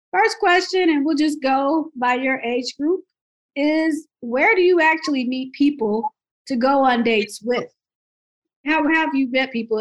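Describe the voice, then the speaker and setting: A woman's voice: high-pitched, a bit bright, dull audio. A woman hosting a casual podcast, introducing guests with playful energy. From Dayton, Ohio area. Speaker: podcast host.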